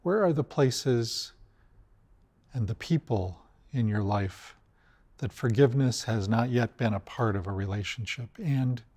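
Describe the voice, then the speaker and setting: An older man, a priest, gives a calm, measured weekly homily to camera inside a large church. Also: mid-range voice. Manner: calm, measured and gently pastoral.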